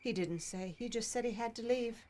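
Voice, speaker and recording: A woman's voice: fairly high pitch, a little fast, warm tone, lightly acted. An actress playing a well-off small-town woman who is prim and guarded, speaking in a formal, clipped, evasive way.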